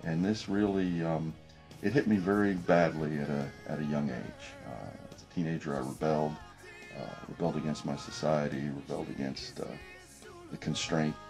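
A man in late middle age, a business professor who grew up speaking French, talking thoughtfully in a documentary interview in his office, with many pauses and restarts. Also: a bass voice, steady tone, gravelly, over music. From United States. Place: office with desk and window.

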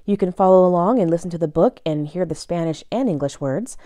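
A woman reading a children's picture book aloud in a bilingual read-aloud style, expressively and deliberately, phrase by phrase. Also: on the low side, warm tone, no room sound, clean studio sound.

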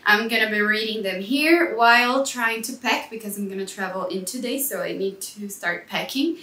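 Casting: woman, twenties, Brazilian accent, bright crisp-edged voice, informal vlog chat, roomy indoor sound. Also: medium pitch, moderate pace. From Brazil, São Paulo. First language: Portuguese. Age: 20s. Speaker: travel vlogger. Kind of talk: casual chat to camera, answering questions. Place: small living room indoors. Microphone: clip-on lapel mic.

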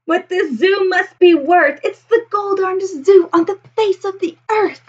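A woman's voice: extremely high pitch, a little slow, muffled recording, voice pushed up. A woman voicing amazed, gushing townsfolk from a storybook with exclamatory, singsong rhymed lines. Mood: awestruck. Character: amazed, gushing crowd of townsfolk cheering a young zookeeper.

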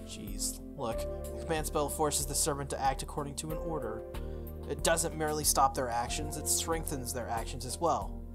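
A sardonic male warrior servant, read by a game player, lectures in a condescending, explanatory manner. The mid-pitched visual novel dialogue plays over music. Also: moderate pace, lightly acted. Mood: lecturing. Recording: music under the voice.

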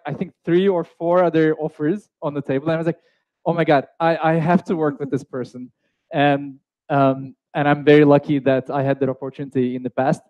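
A man's voice: a little high, a little mellow, no echo, low-quality recording. A young man hosting a live online coding course, chatty, with fillers and restarts. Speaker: course host.

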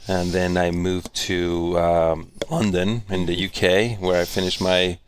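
A man's voice: low-pitched, level tone, measured pace, slight background noise. A man from Chilean Patagonia talking about his life as a guest architect, hesitant and full of fillers. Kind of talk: casual interview.

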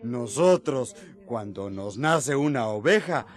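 A man's voice: medium pitch, lively intonation, slow. A man playing a young, plain-spoken shepherd in a radio drama, matter-of-fact and insistent. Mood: generous, insistent.

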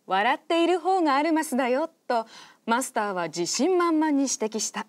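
A woman giving calm scripted narration for a radio drama. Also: sits high, normal speed.

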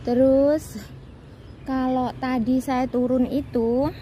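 A friendly Javanese woman in her thirties, chatting to her viewers as she walks. She talks into a phone held at arm's length outdoors, with clear traffic and street noise behind her. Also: high-pitched, little pitch movement, takes it slowly, warm tone. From Indonesia. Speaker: vlogger, migrant domestic worker. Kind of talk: casual vlog chat to viewers. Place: outdoors by a city street and park.

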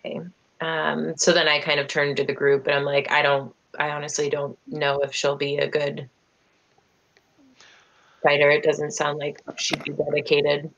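Low-pitched female player, tentative, in-character questions. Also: completely flat delivery, moderate pace, a bit bright, poor audio. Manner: hesitant, thinking aloud. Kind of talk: in-character tabletop play.

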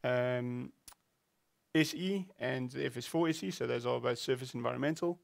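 A man's voice: mid-range voice, normal speed, gravelly, no echo. A middle-aged man explaining product specifications in an informal talk, a little hesitant and often repeating words as he searches for details. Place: small indoor studio set at a desk.

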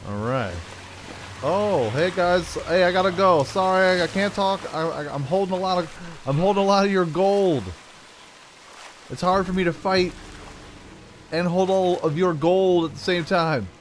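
A man's voice: sits high, measured pace, a little hiss or hum. A man hosting a gaming video, thinking aloud in casual, playful commentary with frequent exclamations. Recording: game music under the voice. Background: game music.

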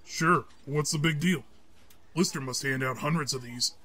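A man's voice: fairly high pitch, moderate pace, sharp tone, breathy edge. A man playing a troubled, determined former police lieutenant in a comic-book audio drama, speaking plainly and earnestly. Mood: apologetic.

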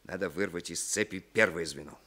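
An actor playing a shrewd, influential schemer in a 1960s Soviet radio drama, speaking emphatically and deliberately. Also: male voice, low voice, pushed and bright, heavily acted. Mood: forceful, scheming. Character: shrewd, influential man plotting a cover-up.

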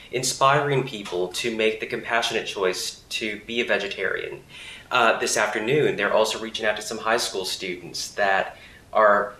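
A man in his thirties speaks with earnest conviction, answering interview questions as an advocacy coordinator. His voice is bright and forceful, a little low for a man. The office room around him adds a roomy echo. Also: slight background noise. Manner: earnest, assured advocacy talk. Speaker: campaign coordinator for an animal advocacy group. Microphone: handheld interview mic.

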